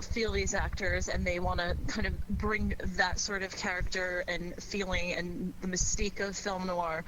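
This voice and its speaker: A woman's voice: fairly low pitch, low-quality recording. A woman talking casually as an actress on a panel, earnest and appreciative in a conversational way. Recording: clear steady background noise.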